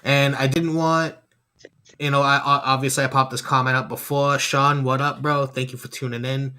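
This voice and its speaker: A man's voice: mid-range voice, level tone, normal speed, pushed and bright. A man hosting a podcast, chatting casually and laid-back, often asking "you know what I mean". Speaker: podcast host, rapper.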